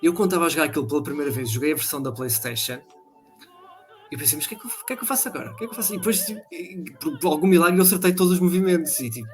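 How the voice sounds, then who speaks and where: A man's voice: a little high, expressive, soft background music. Portuguese man, enthusiastic gaming chat. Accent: European Portuguese. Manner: enthusiastic, quick bursts, joking.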